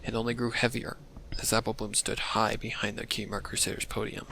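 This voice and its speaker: A man narrating a scripted dark mystery story as a dramatic reading. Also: lowish voice, monotone, brisk, creaky, a little hiss or hum. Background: thunder and rain effects.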